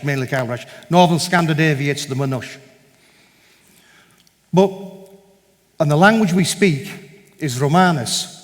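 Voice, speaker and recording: Man preaching in a reverberant hall. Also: on the high side. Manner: conversational, digressive, with quoted dialogue. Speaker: guest speaker at a church meeting. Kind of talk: storytelling talk to a congregation. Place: large church hall. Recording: long reverb of a large hall.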